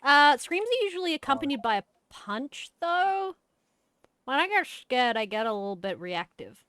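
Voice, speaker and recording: A hyper, bubbly woman with a high-pitched voice, chatting casually while streaming a game. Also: very animated, moderate pace, fairly bright tone, dry recording, clean studio sound. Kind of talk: casual gaming stream chat.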